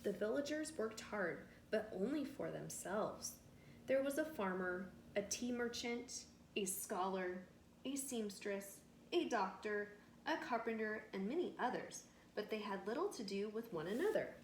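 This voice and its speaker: A woman's voice: medium pitch, expressive, measured pace. A woman reading a storybook aloud expressively to children, with simple explanations, like a teacher. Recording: roomy echo with faint background noise.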